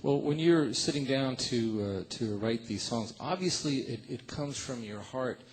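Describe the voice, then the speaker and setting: A man's voice: mid-range voice, expressive, measured pace, breathy edge. A man hosting a radio show, interviewing a musician in a loose, conversational way, with many fillers and restarts.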